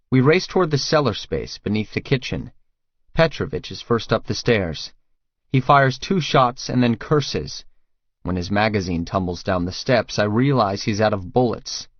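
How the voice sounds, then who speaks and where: A man's voice: on the low side, dry recording, dull audio. A man reading first-person fiction as a scripted audiobook narrator.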